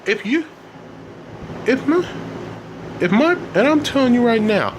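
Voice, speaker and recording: A man's voice: a little high, flat delivery, drawn-out words, background noise. A man voicing an angry, defiant schoolboy kickboxer in a story, indignant and insistent, repeating his phrases. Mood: furious, defiant.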